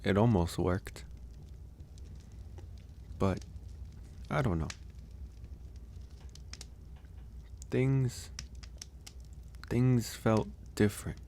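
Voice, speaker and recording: Deep, slow-talking gentle werewolf played by a man. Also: slight background noise, exaggerated voice. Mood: reflective. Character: gentle male werewolf stranger, reflective, reassuring caretaker. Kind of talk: intimate ASMR audio roleplay.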